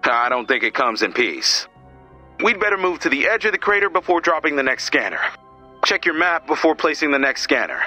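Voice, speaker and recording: An earnest, no-nonsense video game mission commander with a dry, exasperated streak. The voice is fairly high and very sharp, with lively inflection. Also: male voice, fairly quick, soft background music, full character voice. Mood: urgent, commanding. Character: exasperated, no-nonsense heroic male team leader.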